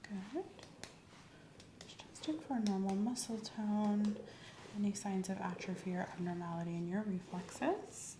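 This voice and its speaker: A woman speaking softly and at times whispering in an ASMR medical roleplay, giving gentle, reassuring instructions as a doctor. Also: medium pitch, measured pace, echoey room, noisy background. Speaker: ASMR performer playing a doctor doing a medical exam.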